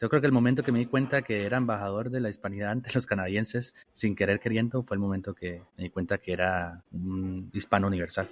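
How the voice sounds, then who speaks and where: A man from Costa Rica answering interview questions in a measured, reflective way, often restarting his phrases. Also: fairly low pitch, fairly even intonation. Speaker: interview guest.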